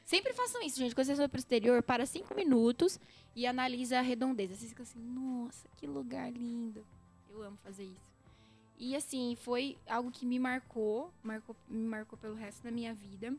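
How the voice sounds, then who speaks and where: A young Brazilian woman with a Brazilian Portuguese accent, a podcast guest telling lively stories and speaking straight to listeners. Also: fairly high pitch, fairly quick, faint music behind. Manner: lively storyteller, often addresses listeners directly. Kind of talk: casual chat.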